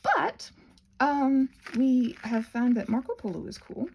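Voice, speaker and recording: A woman hosting a craft channel in a casual ramble, playful and whimsical, drifting onto tangents and talking to herself, with a slightly husky voice. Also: sits high, big pitch swings, takes it slowly, noisy background. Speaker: host of a craft and ASMR channel.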